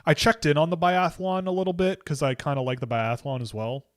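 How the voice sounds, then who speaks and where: A young man hosting a livestream, rambling excitably with frequent restarts. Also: fairly high pitch, brisk. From Canada. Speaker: livestream host. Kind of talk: casual livestream chat.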